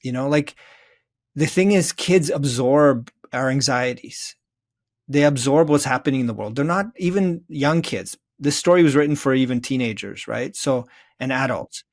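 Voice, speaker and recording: A man's voice: mid-range voice, moderate pace. Earnest middle-aged man in thoughtful conversation. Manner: earnest, speaks in emphatic repeated phrases. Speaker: guest, children's story author. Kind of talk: reflective podcast interview conversation.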